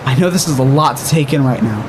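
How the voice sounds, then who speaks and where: A man's voice: mid-range voice, quick pace, background noise, natural voice. An actor playing an anxious, protective male friend in a horror audio drama, speaking in an urgent, coaxing, emotional way. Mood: pleading. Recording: music behind the voice.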